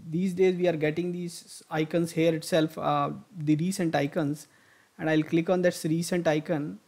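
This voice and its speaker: A man narrating a screen-recorded software tutorial, thinking aloud with frequent fillers and restarts as he clicks through steps. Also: fairly high pitch, flat delivery, moderate pace. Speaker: software instructor.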